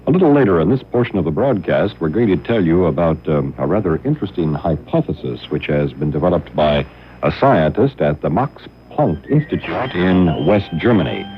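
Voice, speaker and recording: A man's voice: low-pitched, lively intonation, moderate pace, a little hiss or hum. An American man hosting an informal radio show on an old shortwave broadcast. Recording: dull lo-fi 1960s shortwave radio.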